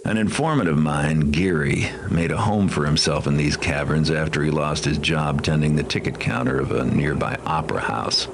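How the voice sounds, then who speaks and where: An actor playing a cynical, cool-headed master thief, narrating a video game mission briefing with dry, understated wit. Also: male voice, normal speed, few pauses, noisy background. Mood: wry. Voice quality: very deep, gravelly. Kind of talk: scripted first-person mission briefing narration. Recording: loud music under the voice. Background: loud music.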